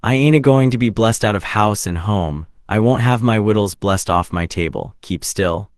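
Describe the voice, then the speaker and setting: A surly London odd-job man acted out by a male reader grumbles doggedly through a classic novel. His speech is rough and uneducated, and the sound is dry and close. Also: lowish voice, normal speed, no echo, close to an everyday voice. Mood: irritable.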